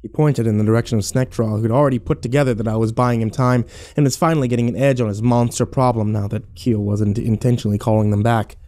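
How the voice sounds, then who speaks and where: A man's voice: mid-range voice, fairly even intonation, fast talker, warm tone, close to an everyday voice. A man playing a sarcastic, battered young man who narrates his own horror audio drama with wry, sweary self-deprecation. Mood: tense narration.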